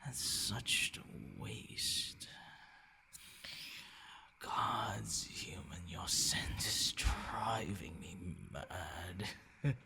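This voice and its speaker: A man playing a possessive, menacing vampire in an intimate ASMR roleplay, murmuring and whispering in a gravelly, commanding, condescending way. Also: extremely slow, long pauses, pushed and bright, exaggerated voice. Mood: hungry, restrained. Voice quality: gravelly, breathy low murmur dropping into whispers. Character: possessive, menacing male vampire toying with his captive.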